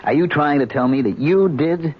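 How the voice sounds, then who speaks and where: A man's voice: a little high, moderate pace, slightly rough. An actor playing a patient, probing police inspector in an old-time radio drama, pressing his questions dryly. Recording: muffled, very noisy vintage radio recording.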